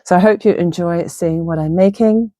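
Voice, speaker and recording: A middle-aged woman chatting casually through a sewing project in her home sewing room, hoarse from a chest virus, upbeat and friendly. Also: on the low side, normal speed, mellow, no room sound, clean studio sound. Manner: chatty and upbeat, talks while working. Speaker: sewing vlogger. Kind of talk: casual craft tutorial talk. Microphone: clip-on lapel mic.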